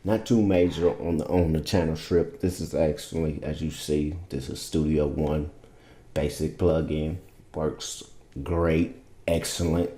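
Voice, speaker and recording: A man's voice: a bass voice, fairly even intonation, a little slow, warm tone. A man explaining his vocal mix settings in a loose, rambling, casual tutorial from a home studio. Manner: laid-back, rambling, loose and informal. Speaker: music producer teaching vocal mixing. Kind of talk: casual screen-share tutorial. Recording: roomy echo.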